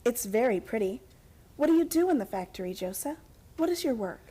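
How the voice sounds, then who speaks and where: Headstrong heiress for radio drama: very high, husky, earnest and insistent. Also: female voice, big pitch swings, brisk, mellow. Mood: earnest pleading. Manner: earnest, insistent, pleading to commanding. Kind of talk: radio play acting.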